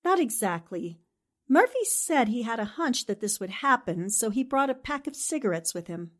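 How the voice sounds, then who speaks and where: A woman acts out a determined lady reporter from a 1940s radio mystery. Her voice sits fairly high and moves with lively swings. She is brisk, sharp and commanding. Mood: thoughtful. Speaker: actor in an amateur radio drama re-creation.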